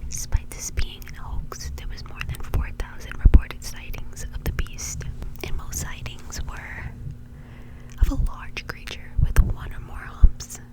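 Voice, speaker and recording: A woman's voice: a little slow, rough recording. A woman doing whispered ASMR storytelling in an informal, conversational way, close to the microphone. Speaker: ASMR video creator and host.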